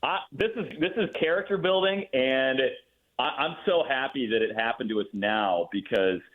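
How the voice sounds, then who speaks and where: A man speaking as a guest announcer in a casual sports radio interview, thinking aloud with halting, repeated words. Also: medium pitch. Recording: muffled phone-like line.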